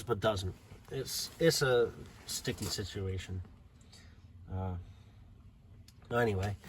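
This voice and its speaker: American male hobbyist, unhurried, low-key delivery, thinking aloud on camera, faint ambient noise behind him. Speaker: hobbyist showing a CB radio install. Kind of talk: casual unscripted video walkthrough. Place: inside a parked car.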